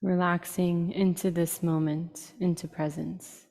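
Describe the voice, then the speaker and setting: A woman with a low-pitched voice leads a guided meditation, soft-edged and mellow in tone. She speaks in short, unhurried phrases and leaves long pauses between them. A long reverb trails behind her words. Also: little pitch movement, vocal fry. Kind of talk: slow, soothing guided meditation.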